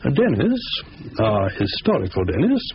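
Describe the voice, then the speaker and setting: A man's voice: mid-range voice, wildly swooping pitch, unhurried. An actor playing an urbane, condescending, pedantic criminal mastermind who savours his words, in a 1970s radio thriller. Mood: scheming. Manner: pompous, precise, savouring, chuckling. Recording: muffled old radio broadcast, faint noise.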